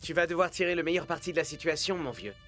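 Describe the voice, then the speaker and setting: A man's voice: on the high side, fast talker, lightly acted. A man playing a wordy, earnest, good-natured young footballer and proud ex-scout in a French cartoon dub. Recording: music behind the voice.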